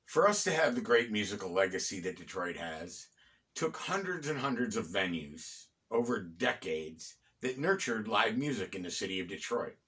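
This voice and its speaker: A man's voice: fairly low pitch, very animated, moderate pace, a bit bright. Middle-aged American man telling local history stories. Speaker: host and storyteller of a local history series. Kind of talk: conversational bedtime-story style history narration.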